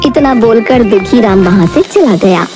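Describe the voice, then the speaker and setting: Female narrator for a moral story; lively, high-pitched scripted delivery over background music. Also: moderate pace.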